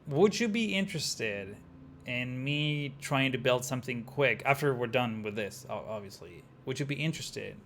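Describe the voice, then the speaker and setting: Young argentinian guy streaming a city builder, gravelly voice, rambly, faint hiss behind. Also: mid-range voice, animated, normal speed, a bit bright. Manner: rambling, chatty, talks to chat, many "like" fillers. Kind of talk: casual gameplay commentary.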